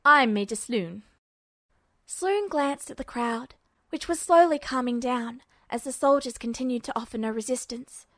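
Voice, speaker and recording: A woman's voice: high voice, normal speed, no room sound, clean studio sound. A woman reading scripted fiction aloud as a narrator. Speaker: audiobook-style narrator of fan fiction.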